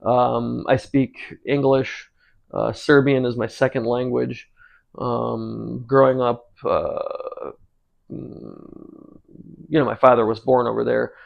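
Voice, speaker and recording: A man in his forties with a gravelly, creaky voice at an ordinary middle pitch. He talks loosely while he works at the stove, drifting between thoughts and filling gaps with ums and uhs. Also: slow, dull audio. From United States. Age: 40s. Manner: rambling, relaxed, many ums and uhs. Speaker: cooking channel host. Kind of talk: casual talk while cooking.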